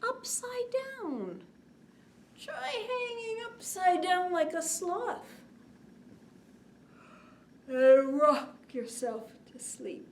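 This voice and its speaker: A woman in her forties hosting a children's bedtime story time, expressive, drawing out words and often dropping to a hushed, whispery tone. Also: very high voice, extremely slow, pauses often. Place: indoors, small curtained set. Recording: clear background noise.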